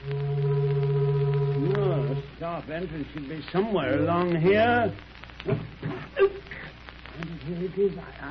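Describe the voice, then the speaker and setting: An actor playing a genial older English doctor who tells his stories in a chatty, old-fashioned 1930s radio style. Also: a little high. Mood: hearty. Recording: muffled, noisy 1930s radio recording.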